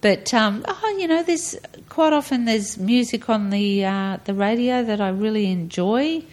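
A woman's voice: on the high side, unhurried, a little mellow. A middle-aged woman with an Australian accent, chatting casually and explaining things as a podcast guest. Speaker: podcast guest discussing health and personal tastes. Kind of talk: casual chat.

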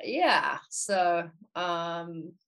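A woman's voice: fairly low pitch, animated, drawn-out words, fairly bright tone. A woman in her thirties from South Africa, her accent partly Americanized, talking easily as a musician guest. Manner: easygoing, thoughtful, uses "like" often. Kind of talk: casual interview chat.

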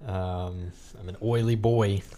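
A man's voice: sits low, fairly even intonation, takes it slowly, dark tone. A man hosting a casual podcast, chatting in a joking, sarcastic way with his co-host. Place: room with another person.

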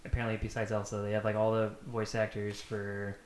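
A man's voice: on the low side, completely flat delivery, brisk. A man co-hosting a podcast in casual, loosely phrased conversation, peppered with filler words. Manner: conversational, frequent use of "like". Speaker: podcast co-host. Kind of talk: casual panel chat. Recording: echoey room with faint noise.

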